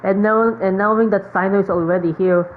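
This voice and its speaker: A woman with a Filipino accent giving casual, rambling game commentary, halting and repeating phrases. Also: medium pitch, fairly even intonation. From Philippines. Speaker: game streamer. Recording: phone-like narrow sound, slight noise.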